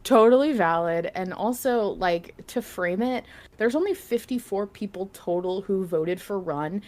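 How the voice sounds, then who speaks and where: A woman's voice: mid-range voice, measured pace, a little mellow. A woman hosting a podcast in casual conversation, speaking informally and swearing freely. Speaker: podcast host.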